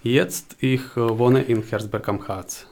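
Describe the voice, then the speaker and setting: Slow, halting man with a Ukrainian accent. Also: medium pitch, steady tone, darkish tone. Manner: hesitant, searching for words. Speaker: interview guest, job seeker. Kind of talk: interview answers in halting second-language German.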